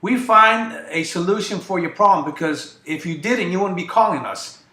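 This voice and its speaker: A man whose first language is Greek talks in a high, bright voice, stopping and restarting as he searches for words. The sound is roomy and echoey. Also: expressive, moderate pace. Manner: hesitant, many fillers and restarts. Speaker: guest. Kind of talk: casual radio talk.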